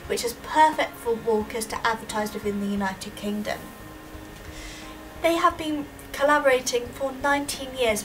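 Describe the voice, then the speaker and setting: High-pitched young woman, distant mic. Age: young adult. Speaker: university student presenter. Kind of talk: prepared student presentation. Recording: distant microphone with audible background noise.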